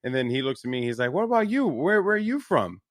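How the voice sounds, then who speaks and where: A man's voice: quick pace, no echo, clean studio sound. A young man from New York, a podcast co-host, telling a story casually and animatedly and lifting his voice when he quotes people. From New York, USA. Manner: animated storyteller, raises pitch when quoting others. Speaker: podcast co-host, esports commentator.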